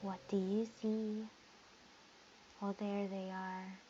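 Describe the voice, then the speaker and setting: A woman's voice: medium pitch, level tone, slow pace. A woman giving casual playthrough commentary as a game streamer, in brief, offhand reactions. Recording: dull lo-fi microphone, faint noise.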